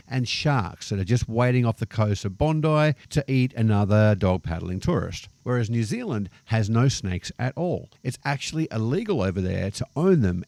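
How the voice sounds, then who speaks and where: A man's voice: on the low side, darkish tone, dry recording. An Australian man hosting a vlog, explaining things in a casual narrated style with the odd anecdote. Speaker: vlog host.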